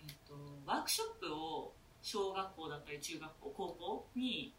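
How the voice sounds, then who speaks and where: A woman's voice: on the low side, lively intonation, normal speed, a bit bright, soft background music. A young woman, an actor and workshop facilitator, chatting casually as a guest. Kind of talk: casual chat. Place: echoey room, away from the mic. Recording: roomy, distant from the mic.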